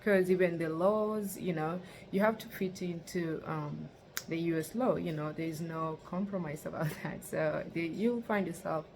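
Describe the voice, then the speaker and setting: A woman's voice: lowish voice, steady tone, normal speed, darkish tone, a little hiss or hum. A young woman from Kenya, vlogging in a casual, rambling way with lots of fillers. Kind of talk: casual talk to camera.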